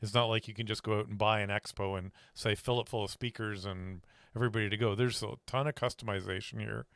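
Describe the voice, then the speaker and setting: A man hosts a casual interview. His voice sits a bit low and sounds smooth and clear. It is recorded dry, with very little room sound. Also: fairly even intonation, fast, a bit bright, dry recording. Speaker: interview host.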